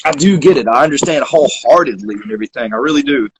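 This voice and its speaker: A man's voice: medium pitch, animated, quick pace, a crowd in the background, poor audio. A middle-aged man with a Southern accent, hosting a casual livestream interview in a folksy, effusive way. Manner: folksy and effusive, thanks guests at length. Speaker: interview host.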